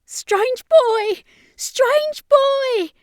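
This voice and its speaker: A woman's voice: very slow, no room sound, clean studio sound, full character voice. A woman voicing a desperate, defiant young woman in a horror audiobook, crying out with raw emotion. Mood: panicked calling. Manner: high, shrieking, emotional delivery.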